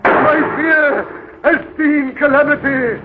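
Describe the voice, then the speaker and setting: A man's voice: very high-pitched, steady tone, slow. An actor in a 1930s radio drama playing a fearful male beggar who foresees doom, speaking in a solemn, declamatory way. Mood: foreboding. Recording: tinny, noisy 1930s radio broadcast.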